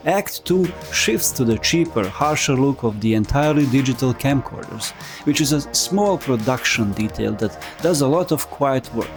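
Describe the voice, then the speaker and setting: A man with a mid-pitched voice narrates a scripted video essay over background music. His delivery is explanatory and conversational, with wry asides worked into the script. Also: expressive, normal speed.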